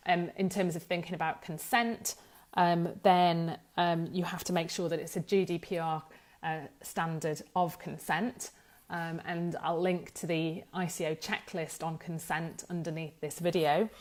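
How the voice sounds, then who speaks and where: Woman explaining, slightly low voice. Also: steady tone, measured pace. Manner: hesitant, thinking aloud, self-correcting. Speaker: data protection law expert presenting an explainer. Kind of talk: informal explanatory talk to camera.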